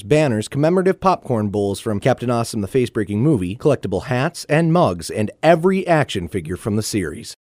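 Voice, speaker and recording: A man's voice: mid-range voice, darkish tone, no echo, studio quality. A man narrating a scripted audio drama like a storybook, in a theatrical, playful manner.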